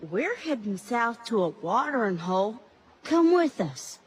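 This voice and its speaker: Tough, slow-drawling cowgirl dinosaur. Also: on the high side, very animated, slightly rough, exaggerated voice. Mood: friendly. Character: tough, kind young female cowgirl dinosaur. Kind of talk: animated film character acting.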